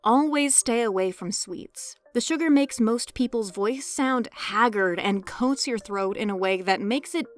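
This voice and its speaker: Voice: mid-range voice, unhurried, hardly any pauses, no echo. A woman narrating a scripted tutorial as host, with a playful, cheeky manner and joking asides. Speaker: host, indie voice actor giving tutorial. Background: background music.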